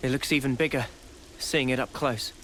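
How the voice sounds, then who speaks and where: A man's voice: medium pitch, steady tone, moderate pace, fairly bright tone, a little hiss or hum, lightly acted. A man playing a thoughtful, cautious young leader in a video game. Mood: watchful. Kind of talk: video game cutscene acting.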